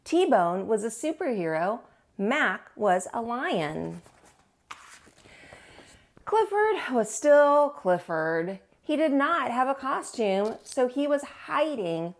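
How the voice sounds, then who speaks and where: A woman hosting storytime, reading aloud to young children with an expressive, teacherly delivery. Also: a little high, slow.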